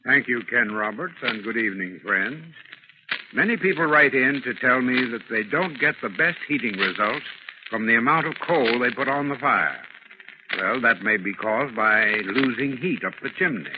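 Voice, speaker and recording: Male 1930s radio ad expert, mid-pitched, measured, instructional, muffled noisy recording. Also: lively intonation. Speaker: heating expert in radio advertisement. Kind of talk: scripted 1930s radio advice.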